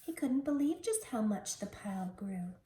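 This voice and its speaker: Teacher lady reading a kids story slow, mellow voice, some noise n room echo. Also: on the high side, big pitch swings. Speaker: teacher reading a picture book aloud. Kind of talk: slow, expressive children's story read-aloud. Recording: roomy, distant mic with background noise.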